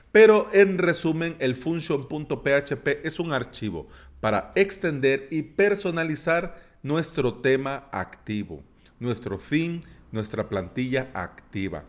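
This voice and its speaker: A man hosting a solo tutorial podcast, explaining terms in a chatty, playful way with jokey asides. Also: fairly high pitch. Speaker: podcast host.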